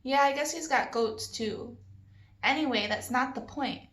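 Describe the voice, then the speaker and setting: A woman's voice: sits high, a little slow, fairly bright tone, roomy sound. A woman voicing a scornful, sarcastic boy from a children's novel, blunt and griping. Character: scornful, sarcastic boy, narrator's best friend.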